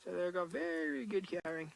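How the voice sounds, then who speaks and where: Loud gravelly safari guide. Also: male voice, sits high, moderate pace, low-quality recording. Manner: loud, animated explaining. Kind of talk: live wildlife commentary. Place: open game drive vehicle outdoors.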